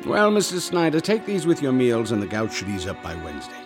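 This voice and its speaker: A man playing a grave, formal family doctor who tells his case deliberately in a radio drama. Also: medium pitch, huge pitch swings. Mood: professional, courteous. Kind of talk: radio drama narration and acting. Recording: music behind the voice.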